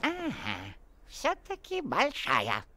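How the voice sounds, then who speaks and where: A man playing a skinny, cunning, bossy town guard in an animated film, sly and drawling. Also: high-pitched, slow, very noisy, heavily acted. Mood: sly, suspicious.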